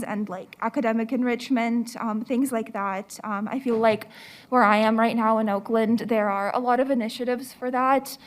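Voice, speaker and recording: Young woman answering with many fillers. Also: fairly high pitch. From Ukraine. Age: young adult. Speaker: student panelist. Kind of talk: panel answers. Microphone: handheld microphone.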